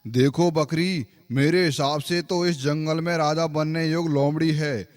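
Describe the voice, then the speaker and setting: A man voicing a solemn, fair-minded lion king in a cartoon fable, speaking in a measured, authoritative way. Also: fairly high pitch, fairly even intonation, natural voice. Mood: solemn, deliberate. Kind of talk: animated moral story acting.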